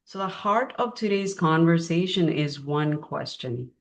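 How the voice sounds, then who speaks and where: A woman's voice: sits low, animated, unhurried. A woman in her forties hosting a podcast, introducing her guest admiringly with some fillers. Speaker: podcast host.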